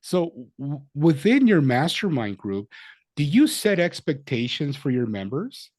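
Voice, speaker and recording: A man hosting a casual interview, speaking in a halting way and restarting his sentences. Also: a little high, very animated, darkish tone, dry recording, studio quality. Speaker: interview host.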